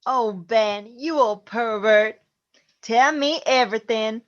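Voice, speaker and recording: A woman's voice: fairly high pitch, takes it slowly, muffled recording. A woman playing a feisty, vengeful ghost grandmother in an amateur radio drama. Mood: nosy, scornful. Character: feisty ghost grandmother, vengeful, nosy, haunting her old house.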